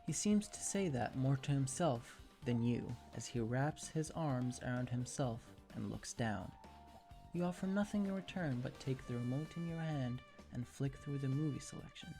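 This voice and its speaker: Fan fiction narrator, mellow tone, gravelly fry, scripted storytelling, music underneath. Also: mid-range voice, lively intonation, normal speed. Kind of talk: second-person fan fiction narration, ASMR.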